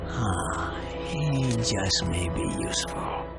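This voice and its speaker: An actor playing a weary, scheming media-mogul villain in a game, sardonic and calculating. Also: male voice, fairly low pitch, animated, takes it slowly. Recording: loud music behind the voice.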